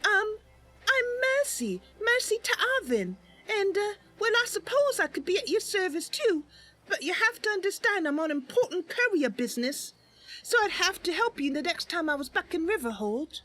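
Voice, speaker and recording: A naive young wood elf adventurer played by a woman speaks very high and lively. She is chirpy and earnest, stumbling eagerly through her words in a fantasy game roleplay. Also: normal speed, heavily acted, low-quality recording.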